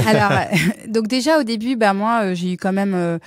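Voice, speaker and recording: Chatty French woman, halting speech. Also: medium pitch, expressive, no echo, studio quality. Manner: hesitant, many fillers, laughs often. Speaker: podcast guest, French teacher. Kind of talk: casual conversation.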